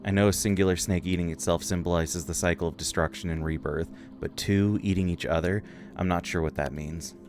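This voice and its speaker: A man's voice: low voice, fairly even intonation, fairly quick, darkish tone. A man reading a video game's story text aloud and commenting as he plays, with game music behind him. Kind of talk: let's play narration, reading dialogue aloud.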